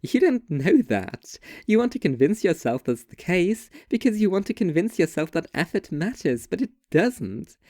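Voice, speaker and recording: Someone acting as a young woman, sardonic and opinionated, literary dialogue, articulate n deliberate, mid pitch, super dry sound. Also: big pitch swings, a little mellow, no echo, close to an everyday voice. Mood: argumentative, sardonic. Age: young adult. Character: two young women debating art, intense and sardonic. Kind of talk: scripted literary dialogue, argumentative monologue delivery.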